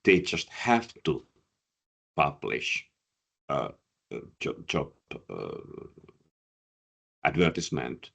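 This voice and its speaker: A man's voice: a bass voice, very animated, slow, vocal fry, long pauses, fairly bright tone, husky. A man coaching job seekers, answering audience questions with many fillers and repeated words, a Finnish speaker talking in English. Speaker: career coach and presenter.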